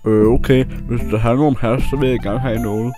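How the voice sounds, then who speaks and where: A man's voice: medium pitch, fairly even intonation, dark tone, faint music behind, close to an everyday voice. A man voicing a gullible, easygoing character in an amateur comedic anime dub, casual and naive.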